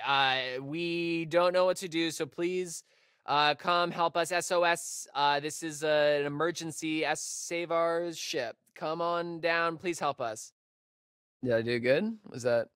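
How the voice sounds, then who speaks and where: A man's voice: fairly high pitch, sharp tone, clear, resonant tone, dry recording, studio quality. Hesitant young American guy, geeky camp counselor. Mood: panicked pleading, then wry, modest. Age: young adult. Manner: hesitant, rambling, stammering. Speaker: video game character actor. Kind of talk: naturalistic video game dialogue.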